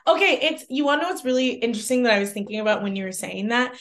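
A woman's voice: fairly high pitch, lively intonation, very fast, crowd noise. A woman co-hosting a podcast in casual chat, often laughing and agreeing. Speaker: podcast co-host.

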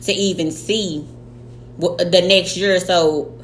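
A woman's voice: on the low side, slow pace. Casual podcast co-host, woman, late 30s, swears freely, echoey room with faint hum. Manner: animated, slangy, swears freely. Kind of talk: casual chat.

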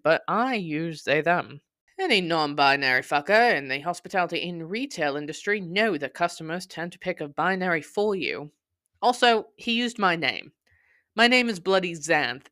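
Theatrical, sardonic narrator with a crisp, dry sound. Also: medium pitch, bright, forceful tone, no room sound. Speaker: podcast host and narrator. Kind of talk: dramatic scripted storytelling, reading a blog.

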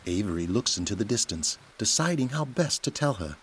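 A low-voiced man narrates an audio drama with a very lively, varied delivery. He reads scripted scene descriptions over clearly audible background noise. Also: fairly quick.

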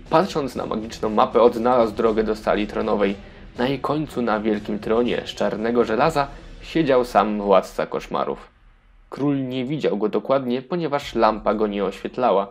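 A man's voice: fairly low pitch, vocal fry, darkish tone. A man narrating a children's audio fairy tale in a scripted storybook style.

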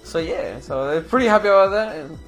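A man's voice: fairly high pitch, expressive, a little fast, fairly bright tone, faint music behind. A young man from Chile with a Chilean Spanish accent, chatting loosely in an interview about fighting games, full of "bro" and "you know". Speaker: fighting game player and commentator. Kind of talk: casual interview.

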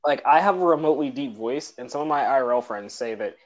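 A man's voice: mid-range voice, animated, quick pace. A man co-hosting a casual podcast chat, laughing often and stumbling over repeated words as he starts sentences. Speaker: podcast co-host. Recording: dull lo-fi audio.

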